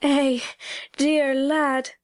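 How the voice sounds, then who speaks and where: Storybook country mother played by a woman: high, slow, affectionate Yorkshire voice, close and dry. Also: fairly even intonation, dry recording. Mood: tender, moved. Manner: tremulous, tender. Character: tender, affectionate country mother, kindly and sincere.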